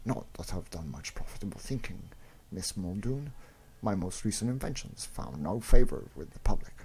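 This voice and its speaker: An earnest gentleman inventor, acted by a man, low and gravelly, doubtful of himself. The period story is read over noticeable noise. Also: lively intonation, moderate pace. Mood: wistful. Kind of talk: comic-book dramatic reading.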